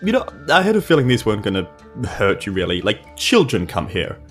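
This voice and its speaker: Animated New woman playing tabletop games. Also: very deep voice, fairly quick, faint music behind. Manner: informal, playful. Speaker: tabletop role-playing player. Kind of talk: casual game-table chat.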